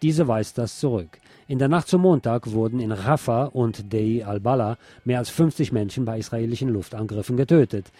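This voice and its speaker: Mellow man reading scripted radio news. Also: medium pitch, normal speed, no room sound. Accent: standard German.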